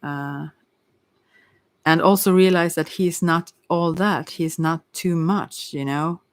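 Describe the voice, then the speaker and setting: A woman's voice: low voice, slow, no echo. A middle-aged woman giving a casual, rambling tarot reading in a hesitant, candid, digressive way. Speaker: tarot reader.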